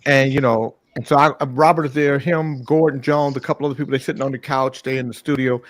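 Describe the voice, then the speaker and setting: A man hosting a morning talk show, telling a long, profane anecdote in a casual, animated way. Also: mid-range voice, fast talker, no room sound. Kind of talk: casual storytelling.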